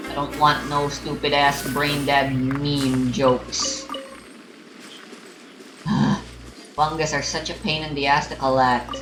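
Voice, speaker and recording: A young man giving rambling, casual game commentary, musing aloud and often correcting himself as he goes. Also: mid-range voice. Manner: musing, hesitant, self-correcting. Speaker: gamer recording a playthrough. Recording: roomy sound over game music. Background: game music.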